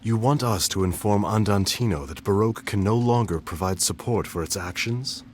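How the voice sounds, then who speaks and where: A man's voice: lowish voice, moderate pace, slight background noise, natural voice. An actor playing a cool, probing young nobleman in a game cutscene, speaking in a formal, precise, questioning way. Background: game soundtrack music.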